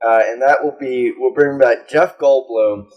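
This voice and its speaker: A man's voice: mid-range voice, steady tone, measured pace, highs cut off. A man co-hosting a casual comedy podcast, joking crudely and irreverently in loose conversation. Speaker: podcast co-host.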